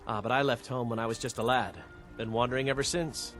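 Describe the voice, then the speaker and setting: An actor playing a proud, guarded wandering warrior in a video game, speaking in a measured, plain way. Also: male voice, mid-range voice, fairly even intonation, fairly bright tone, faint music behind, close to an everyday voice. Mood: reflective. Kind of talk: video game character dialogue.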